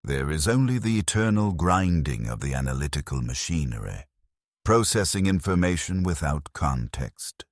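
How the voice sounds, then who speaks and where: A man narrating a scripted first-person story in a somber, measured, bleak way into a close mic. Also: extremely low pitch, big pitch swings, no room sound.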